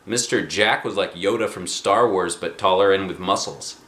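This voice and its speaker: A man, a dad, reading a children's book aloud with expressive, dramatic emphasis. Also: low voice, normal speed, reverberant. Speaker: storybook reader, a dad.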